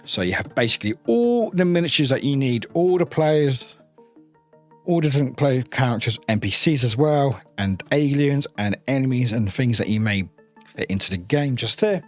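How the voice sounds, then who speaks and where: A man's voice: medium pitch, animated, moderate pace. Guy doing a casual unboxing, keen and kinda rambly, mid voice, bit of music behind. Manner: enthusiastic, rambling. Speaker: reviewer and unboxing host.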